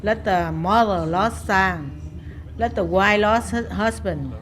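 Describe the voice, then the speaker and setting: A woman explains something slowly in simple, broken English. She sounds a little distant from the microphone. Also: medium pitch, fairly bright tone.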